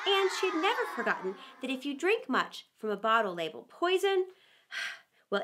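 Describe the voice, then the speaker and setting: A woman reads a storybook to children in a high, very melodic voice. Her delivery is theatrical, leaning on key words and pausing for drama, and her pitch moves widely from phrase to phrase. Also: a bit bright. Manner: theatrical, with dramatic pauses and emphasis. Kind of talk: expressive scripted storybook read-aloud for children.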